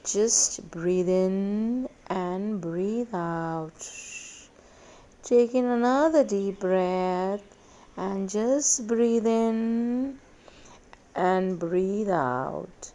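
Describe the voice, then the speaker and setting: A woman leading a guided meditation in a measured, hypnotic way, giving repetitive instructions with long pauses. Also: medium pitch, dark tone.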